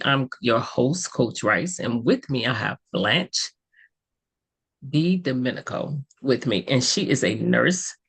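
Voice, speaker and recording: A woman's voice: a bass voice, gravelly, a bit bright, slightly rough. A woman hosting a talk show, upbeat and promotional in her welcome. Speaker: host. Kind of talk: podcast hosting.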